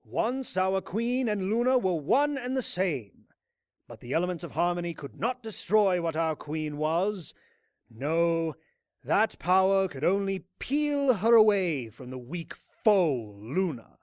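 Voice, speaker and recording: A man voicing a fanatical unicorn cult leader in a fantasy story, orating grandly like a preacher with dramatic pauses. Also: sits high, lively intonation, a little mellow, clear, resonant tone, no room sound, clean studio sound, voice pushed up. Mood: scornful, intense. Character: fanatical male unicorn cult leader rallying his followers.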